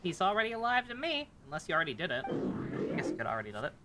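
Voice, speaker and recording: A woman's voice: fairly low pitch, huge pitch swings, quick pace. A woman live-streaming a game with casual, joking, sweary, rambling commentary. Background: game music.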